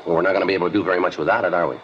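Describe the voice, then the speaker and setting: A man's voice: low voice, fairly even intonation, very fast, lightly acted. A man playing a police detective in a 1950s radio drama, clipped and matter-of-fact. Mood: questioning. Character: terse male police detective, flat and businesslike. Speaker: police officer narrator. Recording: dull lo-fi old radio recording, clear hiss.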